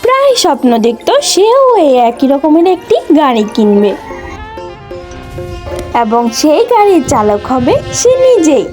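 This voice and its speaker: একজন নারী কার্টুন ভিডিওর জন্য লিখিত গল্প বর্ণনা করছেন।. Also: female voice, sits high, big pitch swings, a little slow. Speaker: narrator. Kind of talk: scripted story narration for a cartoon video. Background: music.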